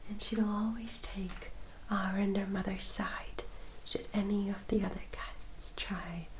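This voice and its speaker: A woman voicing a gentle, loyal young vampire in a whispered ASMR roleplay, speaking in a careful, slightly formal way. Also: mid-range voice, level tone, measured pace, a little hiss or hum, lightly acted, rough recording. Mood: hushed, reverent.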